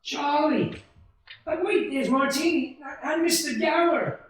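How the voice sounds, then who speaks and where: A woman's voice: high voice, slow pace. A woman acting in a live radio play as an elated, loving husband and father, exclaiming effusively and repeating words. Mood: amazed, happy. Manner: exclamatory, effusive, breathless repetitions. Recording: echoey hall, distant mic.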